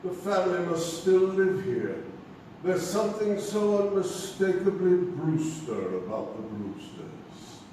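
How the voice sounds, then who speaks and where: A menacing, grandiose villain played by a man with slow, pompous stage delivery. His fairly high voice carries from far off in a very echoey theater. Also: sing-song, warm tone. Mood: sinister, smug. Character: menacing, grandiose criminal nephew with altered face. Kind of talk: theatrical stage comedy acting. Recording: distant, noisy, echoey theater.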